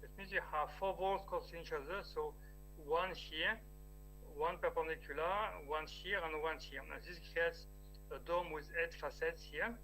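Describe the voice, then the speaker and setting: French guy giving a talk over a video call, bright and a bit high. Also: moderate pace, soft background music, rough recording. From France. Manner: steady explaining, occasional restarts. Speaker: lecturer. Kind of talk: online technical lecture.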